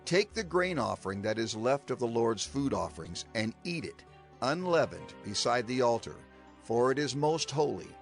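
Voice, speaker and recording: A man's voice: mid-range voice, very animated, moderate pace, gravelly, faint music behind. A man playing a stern prophet and leader in a dramatized scripture recording, giving commands in an emphatic, declamatory way. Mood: instructing. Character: Moses, stern, urgent male prophet and leader. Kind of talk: dramatized scripture audio acting.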